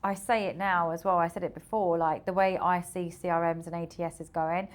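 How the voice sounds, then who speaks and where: A woman's voice: lowish voice, steady tone, fairly quick. A woman speaking casually as a founder being interviewed, telling things as stories and acting out quotes. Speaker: tech founder interviewed as guest.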